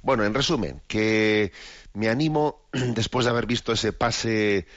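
Older priest rambling thoughtfully, lowish voice, dry dull audio. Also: male voice, unhurried, a bit bright, no room sound. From Spain. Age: 60s. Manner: rambling and reflective, many fillers and restarts. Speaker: bishop. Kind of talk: informal spoken reflection.

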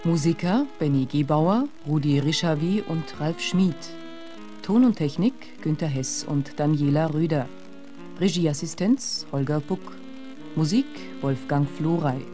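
Slow, mellow radio drama announcer. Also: mid-range voice, expressive. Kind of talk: formal scripted credits announcement. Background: music.

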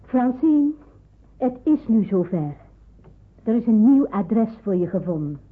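An actress in a Dutch radio drama playing a cautious married woman who shelters fugitives, measured and matter-of-fact, with a husky voice. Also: a little high, sing-song. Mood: grave, matter-of-fact. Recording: muffled, phone-like radio play recording.

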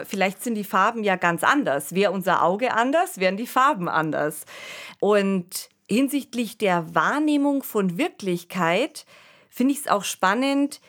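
Female podcast co-host, mid-pitched, talks things through analytically in a conversational register. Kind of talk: casual discussion of science fiction radio plays.

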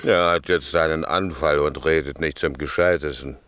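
A man's voice: very deep voice, steady tone, moderate pace, fairly bright tone, smooth, no room sound. A man playing a drunken, blustering shipwrecked servant in a classic radio play, boozy, drawling and bossy. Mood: puzzled, boastful.

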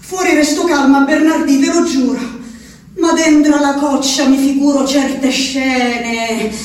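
An actress playing an anguished, pleading peasant wife shut in an asylum, in a halting theatrical monologue with a rustic Abruzzese accent. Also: female voice, high-pitched, fairly even intonation, darkish tone. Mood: strained, defiant. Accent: central-southern Italian, Abruzzese. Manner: rustic, halting, tagging phrases with "eh". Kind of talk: theatrical monologue, letter spoken aloud. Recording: roomy, distant stage sound, faint noise.

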